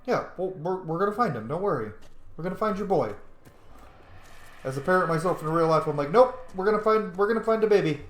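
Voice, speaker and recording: A man's voice: high voice, animated, quick pace. Casual male gamer in an echoey room. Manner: informal, reacts and talks back to characters. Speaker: gaming channel host playing a roleplay. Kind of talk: casual gameplay commentary.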